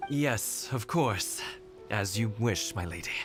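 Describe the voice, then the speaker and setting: A man's voice: on the low side, animated, takes it slowly. An actor playing a dutiful, thoughtful male retainer in an anime game, deferential and a little hesitant. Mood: resigned. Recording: game music behind the voice.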